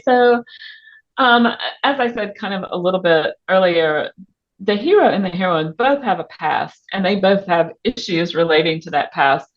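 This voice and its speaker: Muffled, lower-pitched woman in casual interview. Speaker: author guest on a book podcast. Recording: muffled, band-limited audio.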